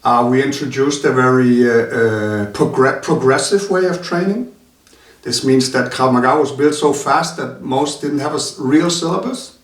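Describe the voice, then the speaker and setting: Man, halting speech full of fillers, echoey room, mic set back. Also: mid-range voice. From Denmark. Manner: halting, many filler sounds. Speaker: martial arts instructor. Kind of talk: casual interview talk. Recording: roomy sound from a distant mic.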